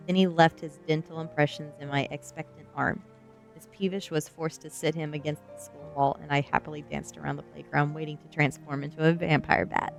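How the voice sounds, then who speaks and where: A woman reading a first-person story aloud in an ASMR style, narrating with expressive, dramatic emphasis. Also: deep voice, creaky. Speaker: streamer reading a novel aloud.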